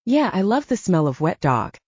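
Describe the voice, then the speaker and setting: A woman's voice: fairly low pitch, sing-song, normal speed, a little mellow, husky, no echo, highs cut off. A woman voicing a stoic, dryly curt male supernatural hunter in an audiobook.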